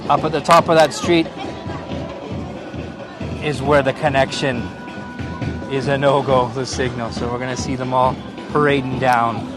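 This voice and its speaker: A man from Canada, hosting a live walking tour of a night street festival and chatting with viewers over loud music. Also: medium pitch, little pitch movement, a little slow, long pauses. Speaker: live-stream host. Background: loud live folk music and a crowd.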